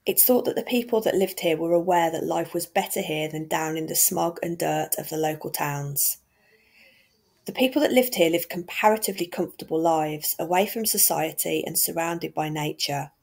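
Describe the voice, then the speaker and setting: A woman's voice: low voice, normal speed, gravelly, a little mellow. A woman from England narrating a walking tour in a friendly, chatty, enthusiastic way. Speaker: vlogger and tour guide.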